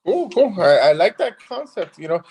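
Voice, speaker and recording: A man from Cuba who grew up in Miami, a guest speaking casually and haltingly on a phone video call. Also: sits high, big pitch swings, warm tone. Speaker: guest, real estate investor. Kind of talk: casual interview.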